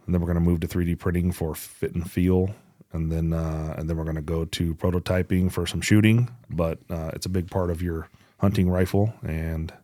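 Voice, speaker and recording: A man's voice: low voice, dark tone, dry recording, clean studio sound. A man co-hosting a podcast, giving product updates in an informal, conversational way. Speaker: podcast co-host.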